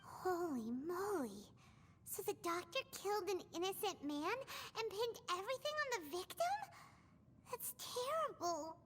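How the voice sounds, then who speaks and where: A woman voicing a small, chatty, childlike fairy companion with a squeaky voice in a video game. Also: very animated, unhurried, fairly bright tone, slight background noise, exaggerated voice. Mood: shocked. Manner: refers to self in third person, exclamatory. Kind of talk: animated video game voice acting.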